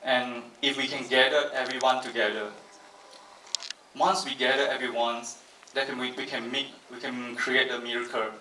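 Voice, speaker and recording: A man from Malaysia presenting his studio at a launch event, earnest and motivational, halting with many fillers. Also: mid-range voice, pushed and bright, far from the mic, slight background noise. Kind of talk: stage presentation to an audience. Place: large event hall. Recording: echoey hall, voice from off-mic.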